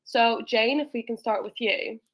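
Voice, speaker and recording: A woman's voice: a little high, normal speed. A woman hosting a company webinar, introducing speakers and asking questions in a friendly way. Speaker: webinar host, marketing manager.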